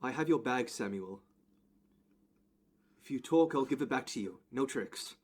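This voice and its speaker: A man's voice: mid-range voice, expressive, a little slow. A man playing a determined male security guard in an audio play, reasoning insistently and trying to placate. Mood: coaxing.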